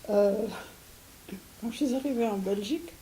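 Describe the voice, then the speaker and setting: An older woman from Syria giving an interview, speaking hesitantly with many restarts and soft laughs. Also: medium pitch, normal speed, a loud crowd, poor audio. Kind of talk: recorded interview.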